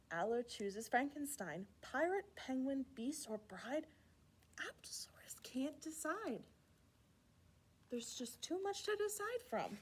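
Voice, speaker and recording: A woman hosting a children's storytime, reading a rhyming picture book aloud in an expressive, playful way with asides to young listeners. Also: on the high side, breathy edge. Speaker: children's library storytime host.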